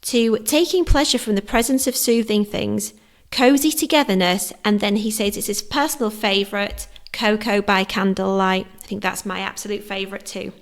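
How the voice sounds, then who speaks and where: A woman hosting a podcast, reading a scripted narration in a soft, measured way. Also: mid-range voice. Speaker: podcast host.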